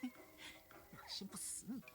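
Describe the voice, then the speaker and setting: An actor playing a playful young man who feigns flamboyant lunacy in an animated drama, theatrical, teasing and whiny. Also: takes it slowly. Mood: coy, teasing. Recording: music behind the dialogue.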